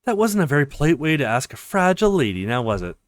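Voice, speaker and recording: A man's voice: a little high, very animated, quick pace, dry recording. A man voicing a smug, manipulative female intelligence officer in a visual novel, teasing and self-assured. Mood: sly, teasing.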